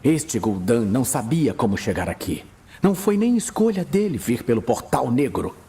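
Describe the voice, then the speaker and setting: Earnest archmage, acted by a man, restrained and urgent, very husky, hushed delivery for fantasy audio drama. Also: mid-range voice, big pitch swings, exaggerated voice. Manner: hushed, measured, pleading. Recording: music bed behind voice.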